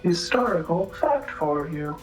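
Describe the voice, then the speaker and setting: A female android bartender in a noir audio drama, low-voiced and unhurried. She is polite but sly, speaking in precise, halting, literal phrases over music. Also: sing-song, dull audio. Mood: deadpan needling.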